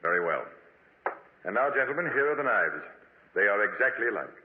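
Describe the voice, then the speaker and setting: An actor playing a dry, needling gentleman accomplice in a 1940s radio horror drama, with mock-polite delivery. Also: lowish voice, sing-song, fairly quick. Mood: formal. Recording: muffled, noisy, echoey vintage radio recording.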